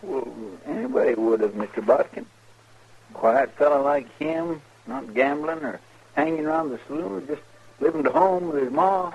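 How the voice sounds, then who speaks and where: A man playing a kindly, simple marshal's sidekick with a rural American accent and a creaky, folksy drawl, 1950s radio western. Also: fairly high pitch, expressive, moderate pace. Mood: plainspoken. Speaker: radio drama actor. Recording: muffled, hissy old radio recording.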